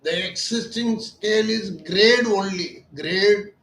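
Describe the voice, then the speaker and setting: A man's voice: high voice, slow pace, fairly bright tone, slight background noise. A man, an ENT doctor explaining his clinic's treatment in an earnest, emphatic way that often repeats its points as questions. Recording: echoey room.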